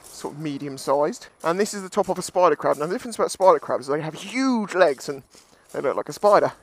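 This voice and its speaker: A man's voice: a little high, animated, quick pace. A British man giving casual, jokey, sweary commentary as he vlogs outdoors on a seashore. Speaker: beachcombing vlogger.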